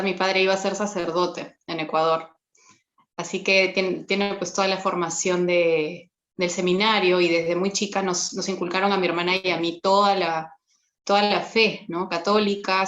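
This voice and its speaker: A woman tells her story at a middle pitch, thoughtful and unhurried. She often hesitates and trails into tag questions. The recording sounds somewhat dull and lo-fi. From Peru. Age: 30s. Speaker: guest giving a faith testimony. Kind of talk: personal testimony.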